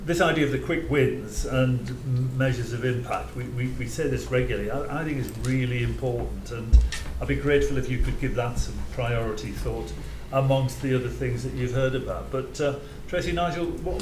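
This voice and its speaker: A man chairs a formal board meeting, politely thanking each speaker and handing the floor on. His voice carries room echo, and clear background noise sits underneath. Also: mid-range voice. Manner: courteous, brisk moderator. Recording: echoey, noisy meeting room.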